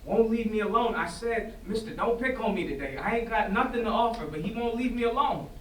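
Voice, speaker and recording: A young man plays a troubled, defensive teenage son in a stage drama. His voice sits fairly high, and his talk is colloquial and insistent, circling back on phrases. He is picked up from a distance in a roomy space with faint noise. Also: expressive, a little fast. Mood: agitated, indignant. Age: young adult. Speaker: drama student acting in a staged reading. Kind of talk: staged play reading, naturalistic acting. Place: indoor staged reading before festival, distant mic.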